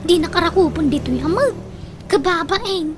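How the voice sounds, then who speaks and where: A woman's voice: very high-pitched, normal speed, over music. An actress playing a fussy, complaining woman in an Ilocano comedy radio drama.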